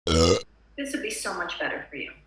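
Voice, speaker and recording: A woman's voice: lowish voice, wildly swooping pitch, moderate pace, fairly bright tone, slight background noise. A woman playing an anxious, polite suburban housewife in a screenplay table read, prim and chirpy. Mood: polite, wistful. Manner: prim, apologetic, fussy. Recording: echoey room.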